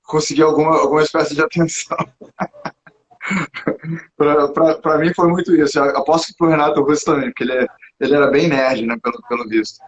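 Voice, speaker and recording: A Brazilian man hosting a casual live chat, rambling and self-mocking, laughing often, a little hoarse. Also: on the high side, steady tone, a little fast. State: slightly hoarse. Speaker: podcast host. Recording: dull lo-fi sound.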